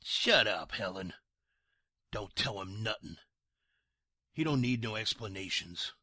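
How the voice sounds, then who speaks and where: Man playing a raspy, sharp-edged, surly gangster. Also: fairly low pitch, expressive, unhurried, no echo, clean studio sound, exaggerated voice. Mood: irritated. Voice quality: rough, raspy. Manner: gruff, impatient, slangy. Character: surly, bossy, self-satisfied male gangster thug. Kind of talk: noir radio drama acting.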